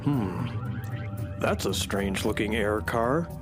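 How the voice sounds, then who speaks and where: A man playing an earnest, excitable traveler on an alien planet in a children's radio play, full of wonder. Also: on the low side, slow, music in the background. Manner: wondering, narrates own actions aloud.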